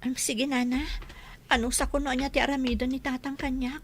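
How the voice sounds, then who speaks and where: An actress playing a meek, conciliatory young daughter in a radio drama. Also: female voice, high-pitched, flat delivery, brisk, slight background noise.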